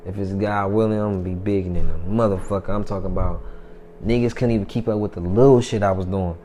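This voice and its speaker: A man's voice: low-pitched, fairly even intonation, fast, dark tone, a little hiss or hum. A man in his twenties, a rapper, talking loosely and boastfully in a casual interview, with plenty of profanity. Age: 20s. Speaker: rapper guest.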